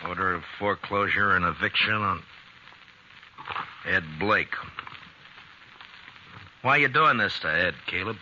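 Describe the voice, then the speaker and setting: A principled, weary US marshal from an old radio western. The voice is low and folksy, on a muffled recording with clear background noise. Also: male voice, bright, forceful tone, full character voice. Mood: disapproving. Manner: plain-spoken, folksy, drawn-out hesitations. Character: weary but principled male frontier US marshal. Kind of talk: 1950s radio western acting.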